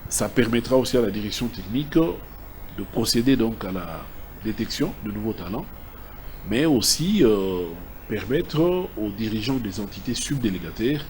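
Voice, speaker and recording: A man's voice: lowish voice, darkish tone. A man with a Congolese accent, a sports official explaining decisions to the press in an emphatic, point-by-point manner. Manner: emphatic, enumerates his points. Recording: clear background ambient noise.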